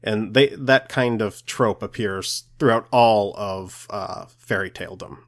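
Male podcast co-host, lowish voice, explains lore conversationally, somewhat halting delivery.

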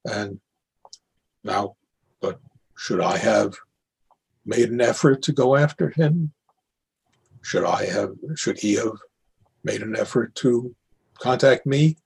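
An older playwright and former teacher reflecting on his work in a halting manner, with long pauses and a rough voice. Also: lowish voice, lively intonation, a little mellow, a little hiss or hum, low-quality recording. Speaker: playwright and retired educator. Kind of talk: reflective discussion of own work.

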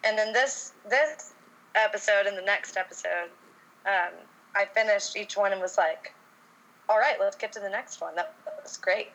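A woman's voice: mid-range voice, moderate pace, a bit bright, poor audio. A woman from Texas chatting casually on a podcast, easygoing and rambling. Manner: easygoing, rambling, lots of ums. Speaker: podcast co-host.

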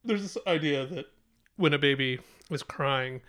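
A man's voice: on the high side, unhurried, a bit bright. A young man co-hosting a podcast, telling stories in a laid-back, chuckling way. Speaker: podcast co-host. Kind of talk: casual chat.

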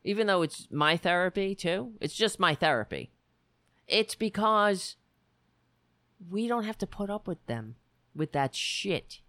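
A woman hosting a political livestream with a worn, raspy voice, talking bluntly and sarcastically while reading the chat. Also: mid-range voice, animated, measured pace, a bit bright, dry recording. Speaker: livestream show host.

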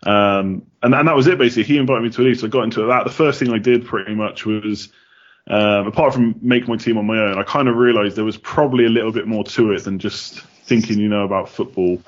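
A man's voice: fairly low pitch, fairly even intonation, quick pace, dull audio. A man from the United Kingdom answering casually as a podcast guest, chatty with many ums.

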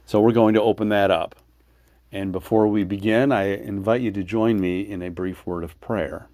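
An American man, a preacher, introducing a sermon podcast and praying in measured, deliberate phrases. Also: fairly low pitch, fairly even intonation, a little mellow, no echo.

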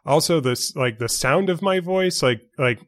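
A man's voice: fairly high pitch, animated. A young man from New York City co-hosting a podcast, choosing his words carefully, with many fillers and restarts. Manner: measured, precise wording with many fillers and restarts. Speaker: podcast co-host. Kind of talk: casual chat.

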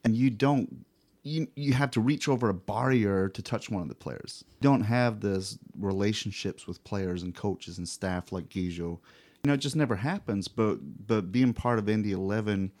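A man's voice: lowish voice, normal speed, a little mellow. A man with a Scottish accent, hosting a podcast in casual conversation. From Scotland. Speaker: podcast host. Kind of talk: casual chat interview.

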